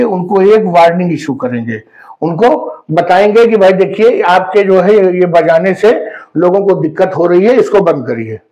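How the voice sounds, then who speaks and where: Guy teacher explaining the news step by step, pretty high pitched voice. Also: a little mellow, rough recording. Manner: explains step by step with everyday examples. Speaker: educator presenting a news explainer. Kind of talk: explanatory current-affairs lesson.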